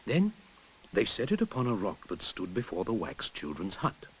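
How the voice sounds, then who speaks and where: A man narrating a folktale in an expressive storytelling manner, sometimes dropping to a whisper. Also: lowish voice, moderate pace, a little hiss or hum, low-quality recording. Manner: expressive storytelling, drops to a whisper at hushed moments. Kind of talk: storybook narration over music. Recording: narration with clear music behind.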